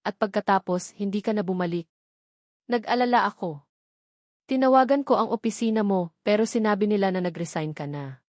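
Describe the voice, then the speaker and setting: A woman's voice: medium pitch, fairly quick, darkish tone, dry recording, studio quality, close to an everyday voice. A Filipino woman voicing a worried, devoted mother in a story, speaking earnestly and with concern.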